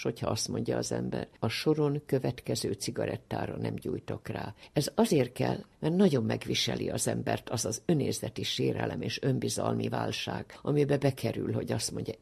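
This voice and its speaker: An older speaker giving a reflective, explanatory talk in an emphatic, didactic way, with a gravelly, husky voice. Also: mid-range voice, darkish tone.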